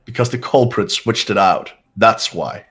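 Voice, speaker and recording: Man, low husky voice, calm measured reading, faint music. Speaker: player voicing a game character. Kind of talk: reading game script aloud with friends.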